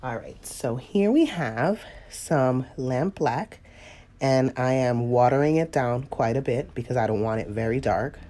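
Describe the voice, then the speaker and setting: Woman, stuffy-nosed painting teacher, mellow tone, easygoing and chatty with viewers. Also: rumbling low, lively intonation, unhurried. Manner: chatty, laughs often, talks to viewers. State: stuffy nose, sniffly. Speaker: watercolor painting instructor. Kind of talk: casual live tutorial talk.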